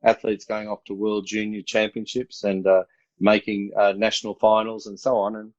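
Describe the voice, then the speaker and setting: A man in his fifties with an Australian accent, an athletics coach telling stories in a relaxed, rambling way over a video call. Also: lowish voice, normal speed, no room sound, highs cut off. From country New South Wales, Australia. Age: 50s. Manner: relaxed, rambling, many uh fillers. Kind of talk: casual remote interview storytelling.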